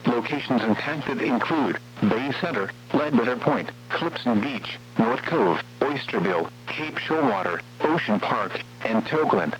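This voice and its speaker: A synthetic man's voice reading scripted weather warnings in a formal, measured, impersonal way, as an automated radio announcer. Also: medium pitch, lively intonation. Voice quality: synthetic text-to-speech voice. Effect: radio broadcast. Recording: muffled weather radio with hum and noise.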